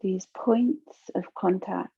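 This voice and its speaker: A woman leads a guided relaxation as a yoga nidra teacher. She speaks very slowly and gently, leaving long pauses between soothing instructions. Her voice has a creaky, gravelly edge, and the recording is dry and a little dull. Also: mid-range voice, no echo.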